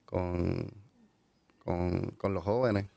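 A man with a Puerto Rican accent speaks in a very low, gravelly voice. He talks in a flat, hesitant way, full of fillers and restarts. The sound is dry and close. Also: no room sound. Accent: Puerto Rican Spanish. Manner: halting, many fillers and restarts. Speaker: guest giving a testimony. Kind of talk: hesitant conversational storytelling.

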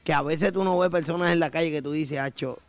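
A man's voice: on the high side, steady tone, fast talker, over music. A man with a Puerto Rican accent, bantering and telling stories casually in an emphatic, colloquial manner.

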